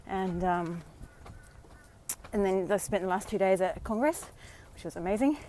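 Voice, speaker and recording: An Australian woman vlogging live on a walk outdoors, slightly hoarse from a sore throat, talking in a chatty, encouraging way with many fillers. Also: medium pitch, moderate pace, mellow, slight background noise. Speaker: vlogger and dating coach. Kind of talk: casual live-stream talk.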